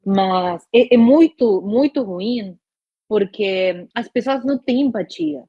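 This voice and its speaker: A woman's voice: fairly high pitch, expressive, slow, slightly rough, dry recording. A woman from Argentina, talking frankly and venting in a casual podcast chat. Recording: dull lo-fi dry recording.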